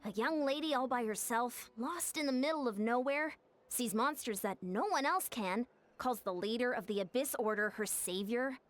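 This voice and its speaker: A woman voicing a cautious, serious woman commander in a video game, speaking in a measured, earnest way. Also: fairly high pitch, soft background music, lightly acted. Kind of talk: game voice acting.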